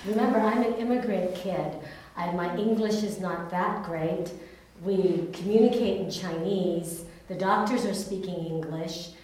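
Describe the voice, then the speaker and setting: A woman's voice: lowish voice, a little slow, darkish tone. A woman born in Taiwan and raised in California, candidly telling her personal testimony in an earnest, storytelling way. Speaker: speaker giving a personal testimony. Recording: very echoey room, distant mic, faint noise.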